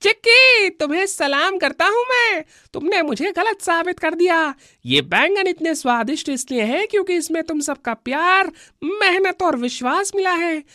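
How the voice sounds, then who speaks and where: A woman's voice: very high-pitched, lively intonation, moderate pace, hardly any pauses, sharp tone, dry recording, studio quality, full character voice. A woman voicing a humble, repentant male crow in a children's cartoon, earnest and declaiming. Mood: humbled, earnest.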